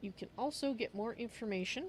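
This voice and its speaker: A woman hosting a livestream, chatting casually in a halting, explanatory way. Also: a little high, a little hiss or hum. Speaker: livestream host.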